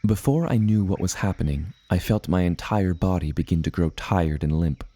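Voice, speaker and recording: An actor playing a reflective seafaring adventurer, narrating his tale in deliberate storybook phrasing for an audio drama. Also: deep voice, dark tone. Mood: reflective, wondering. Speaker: first-person narrator.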